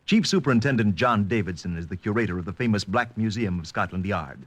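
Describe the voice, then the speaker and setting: A man's voice: lowish voice, animated, fast talker. A man announcing a radio crime series in a formal, scripted mid-century style.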